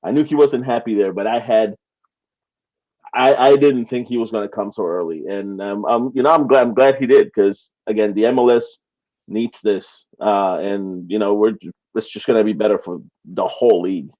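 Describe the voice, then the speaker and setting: A man from Peru talking soccer in a casual podcast chat, halting and restarting often, with small laughs between points. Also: lowish voice. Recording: slightly dull lo-fi audio.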